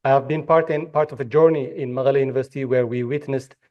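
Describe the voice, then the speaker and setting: A man's voice: medium pitch, fairly even intonation, fast talker, dull audio. A man from Ethiopia moderating a conference panel, speaking with frequent fillers and restarts. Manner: hesitant, many fillers and restarts. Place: conference hall.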